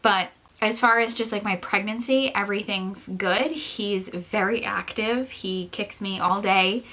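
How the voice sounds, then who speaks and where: Young female vlogger, bright tone, nasal from congestion, slightly breathless, loose chatty delivery full of "like" and "um". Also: mid-range voice, unhurried. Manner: chatty, rambling with frequent "like" and "um"; laughs often between phrases. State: stuffy, congested nose; short of breath. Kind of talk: casual chat to camera.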